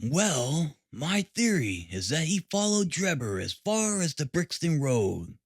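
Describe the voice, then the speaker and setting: Audiobook role: smug male police detective, acted by a man narrator; formal, pompous, lively intonation, dry close sound. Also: on the high side, takes it slowly, no echo, exaggerated voice. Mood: confident. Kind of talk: audiobook character reading.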